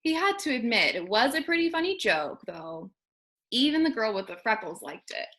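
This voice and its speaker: A woman, a kindergarten teacher, reading a children's storybook aloud expressively and deliberately to young listeners. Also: fairly high pitch, big pitch swings, fairly bright tone.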